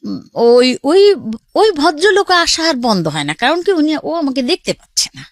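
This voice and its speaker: A woman's voice: high-pitched, big pitch swings, normal speed, dry recording, clean studio sound. A middle-aged woman with a Bangladeshi accent tells her life story casually and conversationally, often quoting family dialogue.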